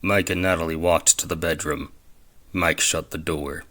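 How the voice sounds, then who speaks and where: A man's voice: low-pitched, fairly even intonation, moderate pace, pushed and bright. A man narrating a scripted audio drama as its storyteller.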